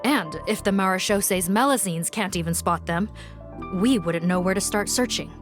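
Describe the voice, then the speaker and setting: A mid-pitched officer played by a woman speaks formally and firmly in a video game. She sounds businesslike and protective. Music plays clearly under her voice. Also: moderate pace, natural voice. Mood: resigned, frustrated. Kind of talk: scripted video game voice acting.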